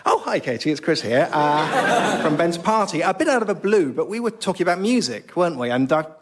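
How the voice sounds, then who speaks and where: A flustered British man in a radio comedy sketch, fairly high-voiced and very animated. He stammers, rambles and berates himself in exasperation, over clear background noise. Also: brisk. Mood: awkward, rambling. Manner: hesitant, stammering, bursts into exasperated shouting at himself.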